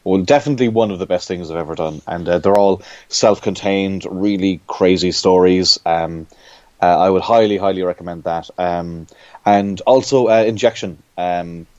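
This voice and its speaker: A man's voice: sits low, moderate pace, no room sound, highs cut off. A man with an Irish accent, a comic book creator chatting as a podcast guest and giving rambling explanations. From Ireland. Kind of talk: casual podcast interview.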